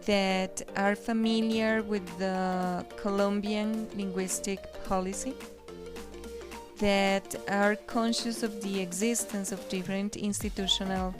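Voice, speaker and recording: A woman speaks at an unhurried, deliberate pace in formal, carefully built sentences. She is an expert teacher answering an interview question. Music plays clearly in the background. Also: medium pitch, little pitch movement. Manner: measured, formal, careful phrasing.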